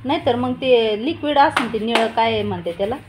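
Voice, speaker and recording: A woman's voice: on the high side, fairly quick, noisy background. A middle-aged woman, chatting casually at home and giving instructions as a family vlogger. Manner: instructing, chiding. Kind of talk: casual household chat and vlog narration.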